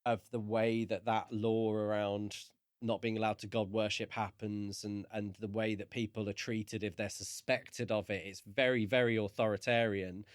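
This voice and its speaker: A man's voice: fairly low pitch, flat delivery, moderate pace, dry recording, studio quality. A man co-hosting a podcast, talking casually and thoughtfully about a book. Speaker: podcast co-host.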